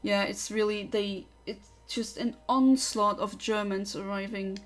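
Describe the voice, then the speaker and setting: A woman's voice: medium pitch, fairly even intonation, measured pace. Chatty young woman. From Luxembourg. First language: Luxembourgish. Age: young adult. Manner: chatty, opinionated, rambling. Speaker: livestreamer. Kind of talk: casual livestream chat.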